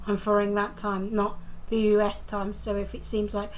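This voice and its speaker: A woman's voice: medium pitch, completely flat delivery, normal speed, slight background noise, low-quality recording. A young British woman doing a casual, rambling review of a TV episode, talking into a handheld camera held close to her face, with lots of ums and restarts. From United Kingdom.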